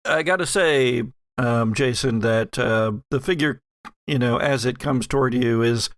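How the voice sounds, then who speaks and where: Male game master for horror tabletop play, unhurried and deliberate, recorded dry. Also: mid-range voice, no echo. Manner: halting, deliberate scene-setting.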